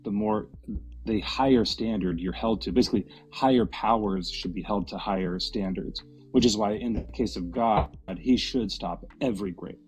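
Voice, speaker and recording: A man's voice: lowish voice, normal speed, a little mellow, soft background music, rough recording. A man arguing on a casual online debate stream, rambling and joking with lots of "like"s. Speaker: debate guest.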